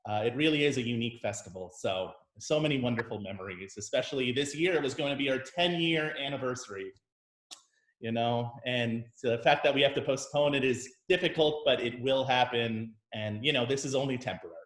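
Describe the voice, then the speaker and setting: A man, a conductor, hosting a casual interview in a measured, friendly way with many pauses and fillers. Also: medium pitch, a bit bright. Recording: roomy echo.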